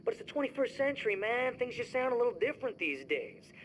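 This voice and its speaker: A man's voice: extremely high pitch, very animated, fast talker, slight background noise, low-quality recording. An actor playing a boastful, laid-back young male music producer guesting on a radio show.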